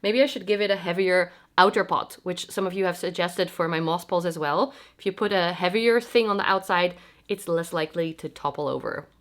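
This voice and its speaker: A woman's voice: fairly low pitch, a little fast. Congested woman chatting about plants. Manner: chatty, self-deprecating, explains plant care. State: congested from a cold. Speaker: plant vlogger. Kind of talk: casual talk to camera. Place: home, indoors.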